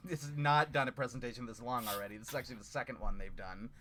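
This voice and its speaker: A man's voice: mid-range voice, fairly even intonation, quick pace, a crowd in the background. A man hosting a casual gaming chat on an online call, rambling with lots of fillers and the odd swear. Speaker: podcast host.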